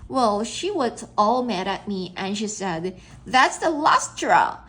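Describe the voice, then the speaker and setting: A woman voicing a cheeky, literal-minded young schoolboy in a children's storybook, earnest and quick to protest. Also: medium pitch, sing-song, a bit bright, poor audio. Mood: defensive.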